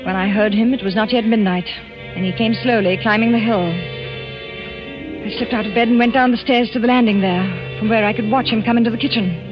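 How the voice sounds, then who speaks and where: An actress playing an anxious, fearful French wife in a 1940s radio thriller, halting and dramatic. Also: female voice, fairly high pitch, steady tone, natural voice. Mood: hushed dread. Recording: muffled vintage radio recording with music.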